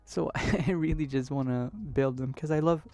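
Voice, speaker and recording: A young man streaming from his bedroom at home, chatting casually and rambling a bit, his voice still hoarse from being sick. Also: medium pitch, fairly even intonation, moderate pace, a little mellow, dry recording, soft background music. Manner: rambling, chatty, laughs easily. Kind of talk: casual live-stream chat.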